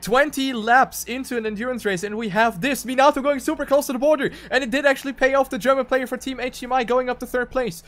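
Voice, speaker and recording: A man's voice: extremely high pitch, steady tone, fast talker, hardly any pauses, fairly bright tone. A man giving live commentary on a video game race.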